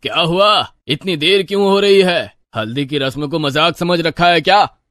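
A stern old priest voiced by a man for a cartoon tale, somewhat high-pitched and dry in sound. He scolds irritably with pompous, ominous warnings. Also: normal speed, a bit bright, no room sound, natural voice. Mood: scolding.

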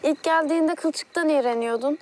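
A woman's voice: high-pitched, normal speed, darkish tone, a little hiss or hum, close to an everyday voice. A woman playing a teasing young woman. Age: young adult. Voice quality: playful teasing. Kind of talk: TV series acting. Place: outdoors at night.

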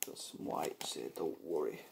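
A young man playing a chilled roadman street barber in an ASMR roleplay, softly spoken and often whispering, with laid-back slangy talk. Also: unhurried, lightly acted. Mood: chilled, hushed. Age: young adult. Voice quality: creaky, hushed. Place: small living room or bedroom indoors. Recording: noisy hissy home recording, clicks.

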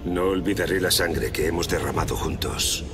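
An actor playing a loyal, dignified space marine officer in a video game, with a formal military manner. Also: male voice, deep voice, normal speed, natural voice. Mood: earnest. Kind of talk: dramatic video game cutscene acting. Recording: loud music behind. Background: loud cinematic music.

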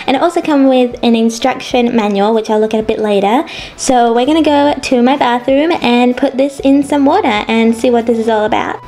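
A woman's voice: high voice, a little mellow. A woman, congested from a cold, chatting bubbly and self-mockingly through a toy unboxing vlog. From Australia. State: stuffy nose from a cold. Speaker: toy reviewer. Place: indoors at a tabletop toy set.

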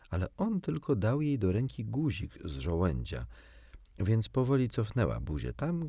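A man reading a children's storybook as an audiobook narrator, in an expressive scripted style. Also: on the low side, no room sound, clean studio sound.

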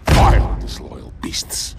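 An actor playing a battle-hardened, proud and bitter alien commander in a video game, with a gravelly voice. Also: male voice, takes it slowly, background noise, close to an everyday voice.

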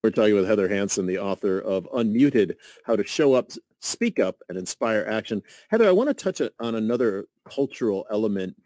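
A man hosting a radio interview in a studio, measured and thoughtful with frequent fillers. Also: fairly low pitch, lively intonation, mellow, dry recording, poor audio. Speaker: radio host. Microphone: studio microphone with headphones.

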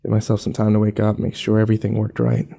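A man's voice: lowish voice, monotone, fast talker, gravelly, warm tone, slightly rough. An actor playing a weary, haunted, cynical man who broods and swears through a first-person horror audio drama. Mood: shaken, grim. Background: music behind the voice.

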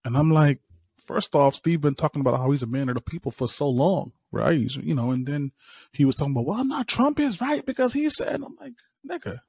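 A man co-hosting a podcast, telling stories in relaxed slangy casual chat over a headset on a video call. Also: fairly high pitch, brisk, gravelly, breathy edge, dry recording, clean studio sound. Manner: laid-back slangy storytelling. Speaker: podcast co-host.

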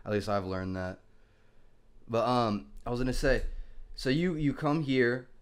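A man's voice: mid-range voice, expressive, measured pace, smooth. Young male podcast host, easygoing, slangy, headset on a video call. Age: young adult. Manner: laid-back, peppers talk with dude and bro. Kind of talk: casual interview chat. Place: small room at home.

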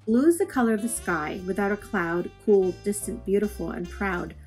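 Female reader for children's poetry: medium pitch, expressive and measured, plucked guitar music underneath. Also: poor audio. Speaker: read-aloud host.

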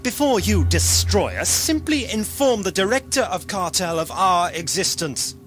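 Male actor as eccentric, witty adventurer, lightish pitch, very animated, audio drama. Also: unhurried, faint music behind, full character voice. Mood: indignant, firm. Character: eccentric, quick-witted male time-travelling adventurer.

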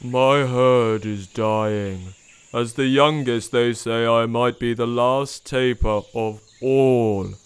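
A man voicing a young tapir who speaks slowly and mournfully. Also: medium pitch, steady tone, smooth, natural voice. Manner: slow, grieving, mournful. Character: young mournful male tapir, last of his herd.

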